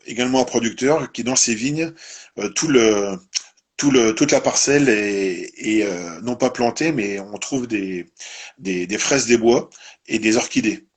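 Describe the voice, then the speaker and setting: Husky low-voiced French host. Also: male voice, a little slow. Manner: meandering, tags sentences with "hein". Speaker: host of a live winery presentation. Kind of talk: live-streamed interview.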